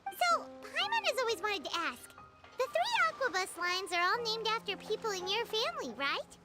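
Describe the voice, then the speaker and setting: A woman voicing a tiny, childlike female fairy companion in a video game, squeaky, perky, curious and blunt. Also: very animated, normal speed. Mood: inquisitive. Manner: perky, refers to self in third person. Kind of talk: cartoonish video game voice acting. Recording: loud jingly game music behind.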